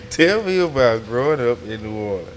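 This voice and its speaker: A man hosts a casual interview podcast in a laid-back, informal voice at an ordinary middle pitch. He talks in relaxed slang and affirms his guest, with some noise audible behind him. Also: a little slow, rough recording.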